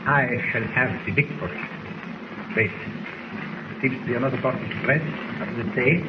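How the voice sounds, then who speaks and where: An actor playing a cold, gloating German villain in 1940s radio drama style. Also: male voice, mid-range voice, moderate pace, natural voice. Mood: cold, triumphant. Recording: noisy muffled 1940s radio recording.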